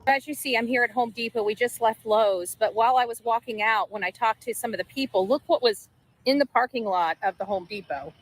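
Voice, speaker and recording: A woman's voice: a little high, brisk, slightly rough, low-quality recording. A woman giving casual on-location updates to viewers as an amateur investigator.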